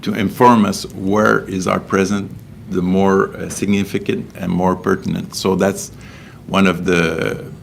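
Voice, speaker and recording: A man with a Québécois French accent answering press questions as a senior police official, in a measured, hesitant, official manner. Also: low voice, flat delivery, creaky, a little hiss or hum. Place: indoor press briefing.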